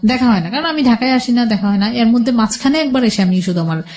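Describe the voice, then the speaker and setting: A woman's voice: fairly high pitch, lively intonation, quick pace, darkish tone. Bangladeshi woman telling her life story. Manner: rambling, colloquial, quotes past conversations. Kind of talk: personal storytelling in a radio interview.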